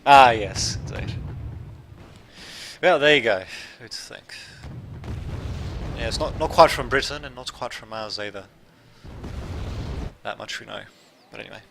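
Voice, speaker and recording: Male game commentator, mid-pitched, light Australian accent, bright tone, chatty and self-correcting, faint background hiss. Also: a little slow, gravelly, pauses often. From Serbia, raised in Australia. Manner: rambling, self-correcting, chatty asides to viewers. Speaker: gameplay commentator. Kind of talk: casual live game commentary.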